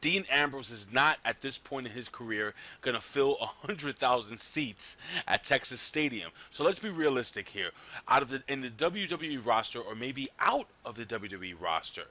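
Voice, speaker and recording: A man hosting a casual wrestling talk panel, animated and repeating himself as he jumps between topics. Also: medium pitch, normal speed. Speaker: podcast host.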